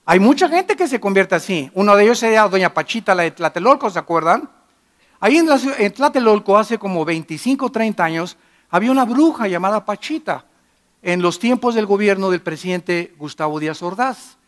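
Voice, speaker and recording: A middle-aged man preaching a sermon in a church, emphatic and moving between loud and hushed delivery. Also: high voice, expressive, brisk. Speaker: pastor.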